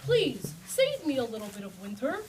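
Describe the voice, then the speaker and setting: A woman voicing a sleepy, gentle male hedgehog in a children's puppet show, with a soft, earnest delivery. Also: a little high, huge pitch swings, moderate pace, noisy background. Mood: wistful. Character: sleepy, forgetful-minded male hedgehog friend. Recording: distant mic.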